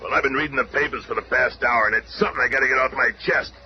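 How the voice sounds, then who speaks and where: A man's voice: medium pitch, very fast, natural voice. An actor playing a hot-tempered, tough-talking strongman hero in a 1970s radio drama. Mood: gruff. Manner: tough, slangy talk. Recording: noisy, dull 1970s radio recording.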